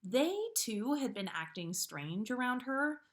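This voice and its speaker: A woman hosting a story livestream, speaking in an informal, expressive way as she chats and reads fiction aloud. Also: a little high, a little slow. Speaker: podcast host reading listener stories. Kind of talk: casual livestream chat, then storybook read-aloud.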